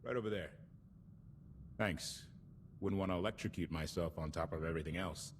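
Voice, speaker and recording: A man playing an exasperated, passionate starship pilot in a video game, expressive and sardonic. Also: sits low, moderate pace, in a large hall, slight background noise, lightly acted. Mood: distracted, grumbling. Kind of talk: video game cutscene acting.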